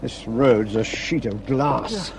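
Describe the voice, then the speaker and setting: A man's voice: medium pitch, expressive, takes it slowly, creaky, very noisy. An actor playing a courteous, reasonable young male motorist who holds his ground in a radio drama. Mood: reasonable, firm. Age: young adult.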